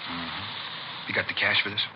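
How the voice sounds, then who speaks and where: An American actor playing a wary, slippery small-time drug dealer who haggles cagily in a 1950s radio crime drama. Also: male voice, on the low side, fairly even intonation, a little slow, natural voice. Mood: cagey. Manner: cagey, haggling. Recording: dull, noisy old radio recording.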